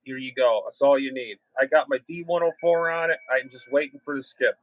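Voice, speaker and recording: A man's voice: medium pitch, brisk, no room sound, low-quality recording. A man hosting a casual hobby livestream, talking in a blunt, slangy way with some swearing. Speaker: livestream host and ham radio hobbyist.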